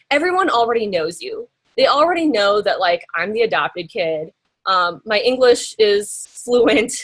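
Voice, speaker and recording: A young woman telling her story in an interview, chatty and full of fillers and rhetorical questions. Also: a little high. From United States. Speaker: interviewee, graphic designer.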